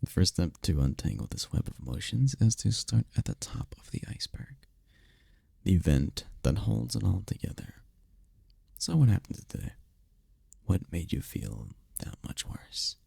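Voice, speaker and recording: A man playing a tender, powerful incubus boyfriend in an intimate roleplay ASMR, speaking softly and soothingly with unhurried, caring murmurs. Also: sing-song, dry recording, clean studio sound, exaggerated voice. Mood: soothing, attentive. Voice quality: deep, dark, gravelly, husky. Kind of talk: intimate roleplay ASMR, scripted comfort monologue. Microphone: close mic, dry.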